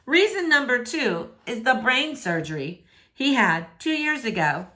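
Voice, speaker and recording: A woman's voice: a little high, very animated, a little slow, sharp tone. A woman reading a novel in verse aloud, narrating expressively.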